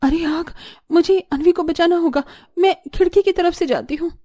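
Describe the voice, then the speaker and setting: A woman's voice: very high voice, normal speed, mellow, pitched above the performer's own voice. A woman voicing a kind, modest young daughter-in-law in a cartoon story, with a polite, sincere manner. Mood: urgent, reassuring. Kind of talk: Hindi cartoon story voice acting.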